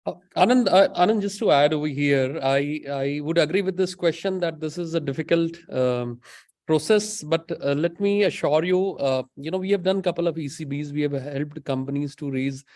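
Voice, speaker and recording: Higher-pitched Indian man explaining, dry close sound. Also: moderate pace, a little mellow, no echo. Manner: emphatic, often tags statements with "right?". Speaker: banking expert panelist. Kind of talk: explanatory webinar panel talk.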